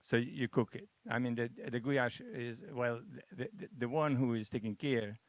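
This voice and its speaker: A man from Hungary, a Hungarian speaker, making halting remarks in a masterclass discussion, full of restarts and fillers. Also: medium pitch, no room sound. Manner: hesitant, many restarts and fillers. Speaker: masterclass participant.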